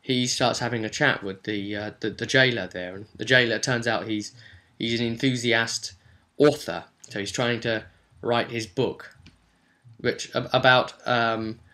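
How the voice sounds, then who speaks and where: Bright, forceful man telling a story. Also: mid-range voice, steady tone. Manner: chatty, halting, acts out short bits of dialogue. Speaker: game master recapping his tabletop role-playing session. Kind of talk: casual, rambling storytelling.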